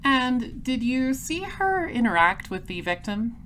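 A woman playing a polite, patient space patrol detective in a comedy audio drama, questioning in a measured deadpan. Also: on the high side, slight background noise. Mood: patient, firm.

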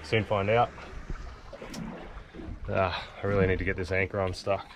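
Laid-back Australian man, low and nasal. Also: little pitch movement, moderate pace, background noise. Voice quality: nasal, blocked up. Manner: laid-back, chatty, easygoing. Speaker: vlog host. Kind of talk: casual vlog talk and voice-over narration. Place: open boat on the sea, outdoors. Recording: music playing under the voice.

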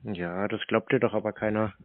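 A man's voice: fairly low pitch, fairly even intonation, fairly quick, no echo. A man answering in short, dry, skeptical remarks in a casual, confrontational phone chat. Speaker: scam-baiter on the call. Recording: thin, narrow-band phone sound.

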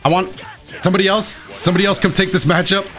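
A man giving casual, excitable commentary while he plays a video game, with playful dramatic flourishes. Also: high voice, quick pace, faint music behind. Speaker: gaming video host and player. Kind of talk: casual live gameplay commentary.

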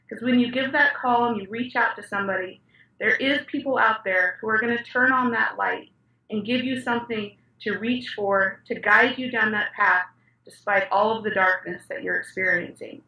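A woman's voice: on the high side, pushed and bright. A young woman giving an impassioned, encouraging personal testimony, recorded on a laptop webcam. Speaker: interviewee. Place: indoor room. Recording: roomy audio, mic a bit distant.

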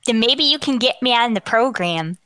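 A woman's voice: fairly high pitch, animated, moderate pace, pushed and bright, dry recording, studio quality. A woman playing a polite, precocious eleven-year-old boy in a radio comedy, speaking with proper, articulate diction. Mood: eager, curious.

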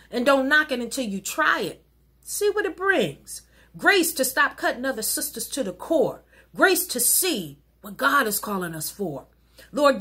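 Middle-aged woman, highish pitch, very lively intonation, earnest rhythmic spoken-word preaching, slightly husky. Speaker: minister and poet. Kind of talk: recited rhyming spoken-word sermon poem. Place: wood-paneled living room at home.

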